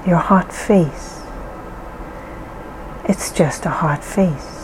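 Slow, soothing woman guiding relaxation. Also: lowish voice, noisy background. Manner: soothing, reassuring, deliberate pauses. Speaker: guided relaxation guide. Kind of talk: slow calming guided meditation.

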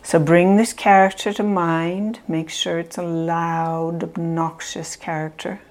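A woman leading a guided self-help visualization in a calm, measured way with long pauses between phrases. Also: on the low side. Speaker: meditation guide.

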